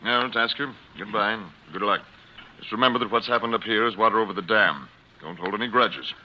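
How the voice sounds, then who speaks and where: A man's voice: lowish voice, fairly quick, close to an everyday voice. An actor playing a plain-spoken, fatherly prison warden in 1940s radio style. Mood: kindly, brisk. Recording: noisy, muffled old radio recording.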